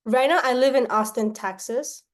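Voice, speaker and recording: A woman from Myanmar, a salesperson pitching her service on a call, often tagging sentences with questions and restarting them. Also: moderate pace. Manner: pitch broken up by frequent tag questions and restarts.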